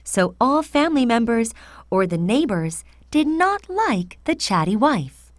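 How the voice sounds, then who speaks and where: A woman storyteller with a fairly high voice reads a children's fairy tale. Her intonation is very animated and expressive. The sound is dry, with no room echo. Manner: expressive, deliberate read-aloud.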